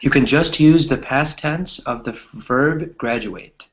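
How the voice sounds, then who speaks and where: A man's voice: mid-range voice, a little slow, a bit bright, highs cut off. A man teaching English grammar to a small group, explaining with examples in an encouraging way and often checking in with short tag questions.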